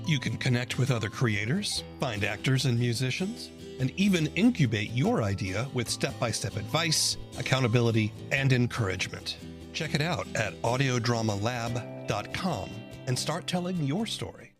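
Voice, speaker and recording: A man hosting a podcast, reading scripted narration into a close microphone. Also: medium pitch. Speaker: podcast host.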